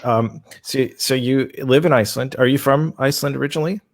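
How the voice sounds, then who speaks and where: A man hosting a casual interview, speaking haltingly, repeating words and circling his way toward each question. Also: mid-range voice. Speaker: interview host.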